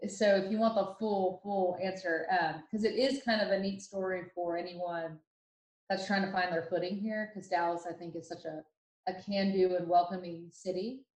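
Chatty woman from ohio, kinda low voice, roomy echo, lots of ums and restarts. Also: moderate pace. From Ohio, USA. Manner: chatty and animated, many fillers and restarts. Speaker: podcast guest. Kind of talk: casual storytelling interview.

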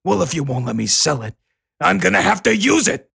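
A man voicing a menacing, gloating and unhinged comic-book villain in a gravelly voice. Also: fairly high pitch, sing-song, fairly quick, fairly bright tone, pitched above the performer's own voice, exaggerated voice.

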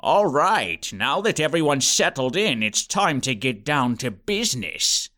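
A man's voice: mid-range voice, very animated, measured pace, bright, forceful tone, pitched below the performer's own voice. A man doing a theatrical, sinister school principal. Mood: ominous. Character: creepy male school principal, theatrical and ominous.

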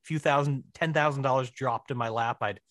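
A man chatting as an interview guest, wry and self-deprecating, often halting as he explains things. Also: medium pitch, a bit bright, dry recording, clean studio sound. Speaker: podcast guest, writer and director. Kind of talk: casual conversational interview.

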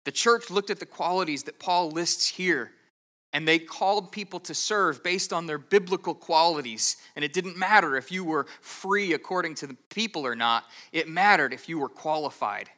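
Bright-voiced man teaching a sermon. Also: a little high, moderate pace. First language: English. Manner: earnest, methodical teaching with occasional stammered restarts. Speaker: pastor. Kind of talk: expository sermon.

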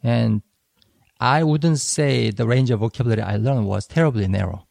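A man whose first language is Korean talks through ideas on a podcast with a gravelly, mellow voice. He explains at a measured, slightly halting pace, recorded dry and close to the mic. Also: medium pitch, no room sound. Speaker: podcast co-host. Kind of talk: casual educational discussion.

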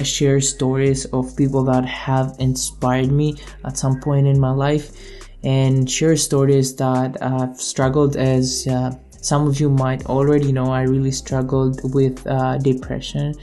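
A man's voice: medium pitch, dark tone. A young man from Greece hosting his own podcast, talking in an earnest, motivational way with some hesitation. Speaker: podcast host. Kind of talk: solo podcast introduction.

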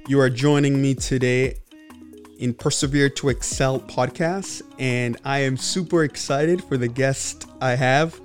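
A man's voice: medium pitch, steady tone, a little slow, faint music behind. A man from the Congo hosting a podcast, speaking earnestly with frequent fillers and restarts. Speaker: podcast host. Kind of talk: casual podcast monologue.

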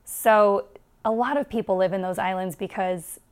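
A woman's voice: mid-range voice, steady tone, moderate pace. A young adult woman from the United States, a stand-up comedian guest chatting casually and laughing often at herself in an interview.

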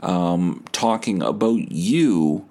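A man's voice: sits low, animated, very slow, warm tone. A man hosting a podcast, delivering a rambling comedic monologue in a sardonic, foul-mouthed, mock-boastful way.

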